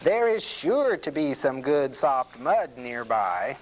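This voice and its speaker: A woman's voice: very deep voice, sing-song, takes it slowly, slight background noise, exaggerated voice, poor audio. A woman voicing a small, fussy, mud-loving male pig in a storybook, with plaintive exclamations. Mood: frustrated.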